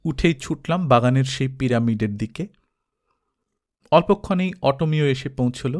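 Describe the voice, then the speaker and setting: A man reading an adventure novel aloud as narrator, steady and dramatic. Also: mid-range voice. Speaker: audiobook narrator. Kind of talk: storybook reading.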